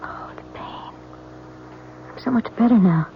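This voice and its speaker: A woman's voice: sits low, wildly swooping pitch, a little slow, noisy background, full character voice. An American woman acting a soothing but fragile young wife in a 1970s radio drama. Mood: weak, drowsy. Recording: dull old radio with loud music.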